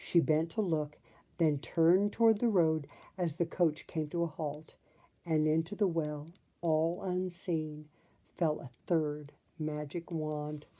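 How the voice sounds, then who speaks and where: A woman's voice: low voice, fairly even intonation, slow, warm tone, dull audio. A woman reading a children's story expressively, with chatty asides to her listeners. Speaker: storybook reader.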